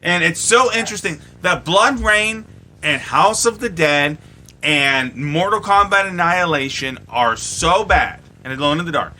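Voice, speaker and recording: A man's voice: on the high side, pushed and bright. A man co-hosting a podcast in casual chat about films, speaking emphatically and swearing freely. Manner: emphatic, profane. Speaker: podcast co-host.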